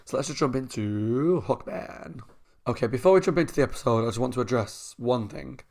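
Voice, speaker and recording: A young man hosting a reaction channel at home, chatting casually with a croaky, sore voice and frequent laughs. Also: medium pitch, lively intonation, brisk, darkish tone. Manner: chatty, talks a lot, laughs often.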